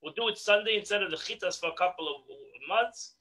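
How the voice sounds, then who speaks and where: A middle-aged man leads an online religious class from a home study, speaking into a webcam. His voice is bright and fairly high, and he repeats words as he presses a point. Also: fast talker. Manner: animated, repeats words when stressing a point. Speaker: rabbi teaching a daily class. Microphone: video call webcam.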